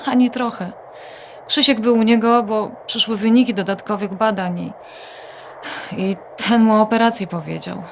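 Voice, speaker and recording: A woman's voice: medium pitch, fairly even intonation, normal speed, vocal fry, slight background noise, natural voice. An actress playing a worried, wry adult daughter confiding her troubles in a naturalistic audio drama. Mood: distressed, confiding.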